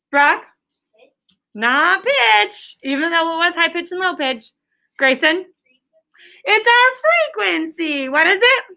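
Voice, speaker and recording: A woman's voice: very high voice, creaky, pushed and bright. A woman teaching an elementary class, quizzing pupils in a firm, directive manner. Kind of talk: classroom lesson with question and answer.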